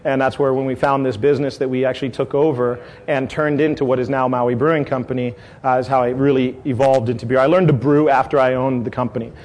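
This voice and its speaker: A man's voice: medium pitch, flat delivery, fast, hardly any pauses, a little mellow. Rambling middle-aged man at a tasting. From San Diego, California. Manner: rambling, with many fillers and restarts. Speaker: brewery owner. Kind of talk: casual talk at a tasting event.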